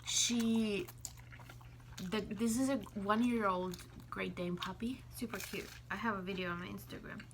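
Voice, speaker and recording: A woman's voice: medium pitch, fairly even intonation, unhurried, fairly bright tone, slight background noise. A woman talking casually in a food vlog, with a wry, teasing way of speaking. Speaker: food vlogger. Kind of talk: casual mukbang chat.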